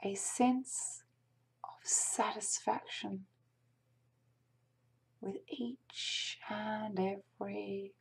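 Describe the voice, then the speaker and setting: A woman leading a guided meditation in a hushed, breathy, half-whispered voice, speaking gently and deliberately with long pauses. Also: medium pitch, steady tone.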